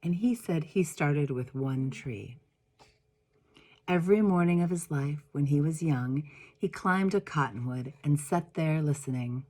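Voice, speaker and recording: A woman reading a first-person story aloud with deliberate, expressive phrasing and pauses. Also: low-pitched.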